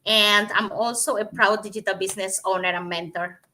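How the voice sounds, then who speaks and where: A woman's voice: medium pitch, steady tone, brisk, sharp tone, a little hiss or hum. A woman chatting casually as co-host of a live online show. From Cuba. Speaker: co-host, mentor.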